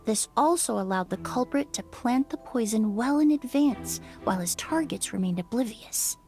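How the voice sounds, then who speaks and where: An actress playing a composed, analytical young female investigator in a video game, explaining in a measured, precise way. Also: a little high, lively intonation, warm tone, faint music behind. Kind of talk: video game voice acting, expository.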